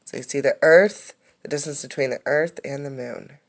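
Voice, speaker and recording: A woman reading a children's science book aloud like a teacher, explaining things as she goes. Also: very deep voice. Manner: explanatory, pausing to comment and ask questions.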